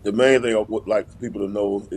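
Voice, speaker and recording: Low, husky American man, gravelly. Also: fairly even intonation, quick pace, darkish tone. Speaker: high school head coach being interviewed. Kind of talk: live remote interview. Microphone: video call.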